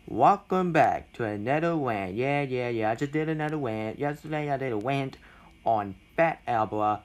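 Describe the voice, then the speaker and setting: A young man records an informal movie review. He complains bluntly, often stopping and starting and repeating his points as he goes. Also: animated. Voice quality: self-described deep voice. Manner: halting, repetitive, blunt complaining.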